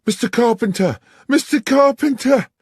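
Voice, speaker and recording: A man's voice: sits high, very animated, a little slow, breathy edge, no echo, studio quality, pitched above the performer's own voice, heavily acted. A man reading aloud, voicing a panicked young woman who bursts in screaming. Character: frantic young woman, shrill and breathless.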